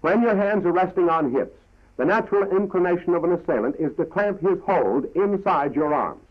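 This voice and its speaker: A man's voice: sits high, expressive, fairly quick. An American man narrating a 1940s military training film in a formal, clipped, instructional manner, on a dull vintage soundtrack.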